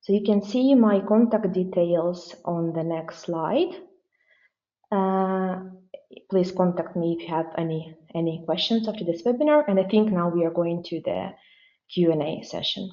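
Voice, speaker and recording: A woman's voice: fairly low pitch, normal speed, dark tone. Woman explaining regs on a webinar, lots of uh's, darkish tone, bit dull sound. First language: Ukrainian. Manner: explanatory, hesitant, with frequent fillers. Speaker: webinar presenter, regulatory expert. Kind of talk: online presentation. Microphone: webinar call microphone.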